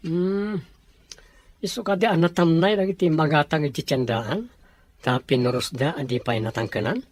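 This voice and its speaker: A man acting a devoted young boyfriend in a radio drama, relaxed and teasing. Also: fairly high pitch, normal speed, dry recording, close to an everyday voice. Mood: easygoing.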